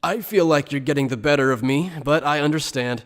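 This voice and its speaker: A man playing an earnest, excitable supernatural journalist who questions things keenly in an anime fan dub. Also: a little high, fairly quick, faint music behind, close to an everyday voice. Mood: serious, resolved. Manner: indignant outbursts, earnest questioning. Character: earnest, excitable male soul reaper journalist.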